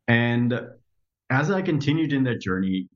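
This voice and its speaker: A man in his thirties from the Netherlands, a podcast guest telling his story in a reflective, halting way with many restarts. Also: mid-range voice, sing-song, highs cut off. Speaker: podcast guest, software developer. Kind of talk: casual interview storytelling.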